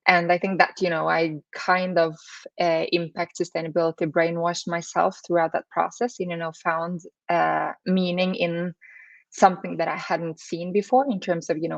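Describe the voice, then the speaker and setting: A woman's voice: sits low, vocal fry, no echo, highs cut off. A woman in her thirties from Norway with a Norwegian accent, giving conversational interview answers peppered with "you know". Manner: hedging, frequent "you know". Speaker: interview guest, startup accelerator CEO.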